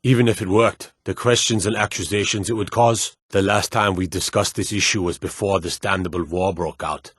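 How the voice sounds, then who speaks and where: An actor playing a stern, dutiful fantasy lord and soldier in a video game, speaking in a formal, measured, authoritative way. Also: male voice, deep voice, fairly even intonation, fairly bright tone, slightly rough, no room sound, studio quality. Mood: grave, stern. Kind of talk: video game character dialogue.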